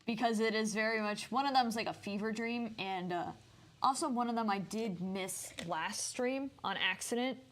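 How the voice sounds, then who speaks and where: A woman's voice: on the high side, moderate pace. A woman streaming games, chatting informally and thinking aloud in a rambling way. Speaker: game streamer. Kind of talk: casual livestream chat.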